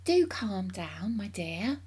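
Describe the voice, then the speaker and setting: Woman acting exasperated mother, children's storybook, sensible, chiding, husky, lively intonation. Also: mid-range voice, takes it slowly, full character voice. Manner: chiding, reproving.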